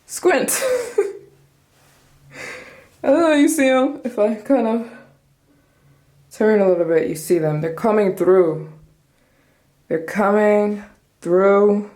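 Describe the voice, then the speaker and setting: A woman's voice: mid-range voice, expressive, takes it slowly, pauses often, dark tone. A woman in her twenties vlogging casually to camera, bubbly and joking, laughing often and jumping between topics. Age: 20s. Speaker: travel vlogger.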